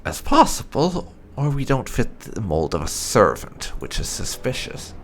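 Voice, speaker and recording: A man's voice: medium pitch, wildly swooping pitch, measured pace, creaky, a little hiss or hum. A man giving casual commentary on his playthrough as a gaming channel host.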